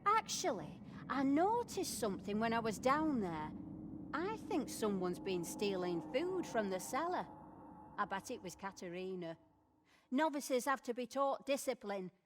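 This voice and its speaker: Highish-voiced, brusque kitchen nun. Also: female voice, sing-song, unhurried, background noise. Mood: suspicious, accusing. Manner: curt, defensive. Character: brusque, suspicious, judgmental nun who cooks in the kitchen. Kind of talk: voiced video game dialogue.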